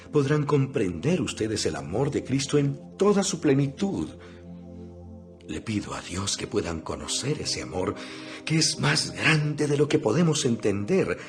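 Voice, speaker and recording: A man playing an imprisoned apostle in a dramatized scripture reading, speaking solemnly and earnestly with emphasis. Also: mid-range voice. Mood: prayerful, earnest. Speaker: Bible narrator. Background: music.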